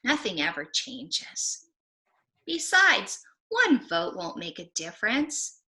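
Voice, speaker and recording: A woman's voice: fairly high pitch, big pitch swings, takes it slowly, pushed and bright, heavily acted. A woman voicing a sad, discouraged lady in a picture book, plaintive and resigned.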